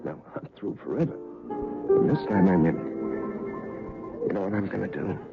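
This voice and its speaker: A man's voice: low-pitched, moderate pace, vocal fry, over loud music. An actor playing a distraught, fatalistic male cop in a 1970s radio drama, speaking in agitated, emphatic repetitions. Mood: insistent. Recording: dull, faintly noisy old radio recording.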